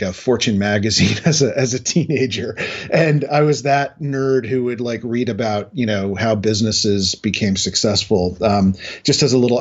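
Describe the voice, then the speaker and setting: A middle-aged American man from New England speaking in a halting, reflective way as a guest in a casual interview. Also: medium pitch, highs cut off. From New England, United States. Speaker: interview guest, industry analyst.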